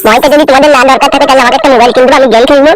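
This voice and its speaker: Very high, whiny cartoon villager. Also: female voice, steady tone, very fast, over music. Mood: whiny, demanding. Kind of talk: exaggerated cartoon comedy dialogue.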